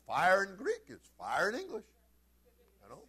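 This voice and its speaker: A man preaching a sermon as a pastor, folksy and informal, moving between conversational storytelling and emphatic, animated delivery. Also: sits high, normal speed, slightly rough. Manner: animated, swinging from quiet conversational asides to loud emphatic shouts. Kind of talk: Pentecostal-style sermon with storytelling.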